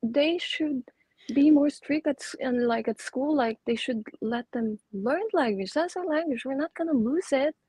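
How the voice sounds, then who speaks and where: A woman's voice: high-pitched, moderate pace, mellow, no room sound, poor audio. A woman in her thirties with a Filipino accent, a native Tagalog speaker, chatting casually and frankly as an interview guest. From Philippines, Cavite. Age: 30s. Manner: frank, opinionated. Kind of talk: casual chat.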